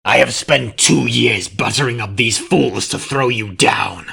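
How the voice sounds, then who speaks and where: A man's voice: mid-range voice, unhurried, gravelly, bright, forceful tone, breathy edge, voice pushed up, exaggerated voice. Man voicing a snarling changeling villain. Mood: furious snarling. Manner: snarling, spitting, defiant. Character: arrogant, snarling shapeshifting changeling villain, male insectoid creature.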